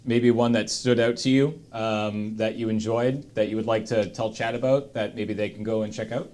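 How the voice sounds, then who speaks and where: Halting, informal man hosting. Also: lowish voice, fairly even intonation, a little mellow, slight background noise. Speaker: host. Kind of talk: casual group chat, prompting each guest.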